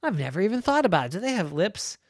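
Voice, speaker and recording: A friendly, easygoing zookeeper, voiced by a woman telling a bedtime story. The voice is relaxed and a bit unsure, with a lively rise and fall. It sounds dry and close. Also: medium pitch, quick pace, no room sound, voice pushed up.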